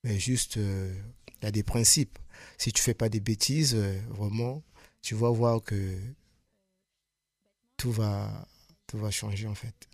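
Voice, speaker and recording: Man, radio guest, sincere halting testimony, low voice, dry studio. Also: fairly even intonation, darkish tone, dry recording. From Republic of the Congo. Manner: hesitant, many pauses and repeated words, sincere. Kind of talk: earnest personal testimony.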